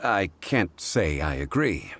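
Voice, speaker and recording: An actor playing a calm, learned, quietly self-assured gentleman in a video game, speaking in a measured, formal, courteous way. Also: deep voice, slight background noise, exaggerated voice.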